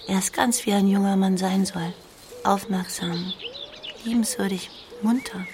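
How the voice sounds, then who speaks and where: A woman playing a gentle, trusting young sister in a German radio drama, speaking softly and earnestly. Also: mid-range voice, fairly even intonation, a little slow, close to an everyday voice. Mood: tender, admiring. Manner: soft, earnest, partly breathy. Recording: clear outdoor noise with birdsong.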